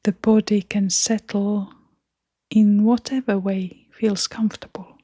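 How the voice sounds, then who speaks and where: A woman leading a scripted guided meditation in a soft, breathy, near-whispering voice, gentle and soothing, with long pauses. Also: medium pitch, little pitch movement, dry recording, studio quality.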